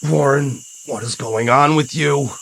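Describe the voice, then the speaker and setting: A man's voice: mid-range voice, measured pace, gravelly, a bit bright, slight background noise, rough recording. An actor playing a frightened but determined man in an audio drama, urgent and emotional. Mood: pained, weary. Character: frightened but determined man confronting his possessed cousin.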